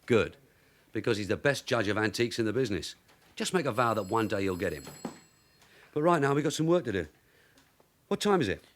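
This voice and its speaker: A shrewd veteran English detective sergeant, played by a man in a British radio drama. He is brisk and wry, with a very lively, varied pitch. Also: a little hiss or hum, natural voice.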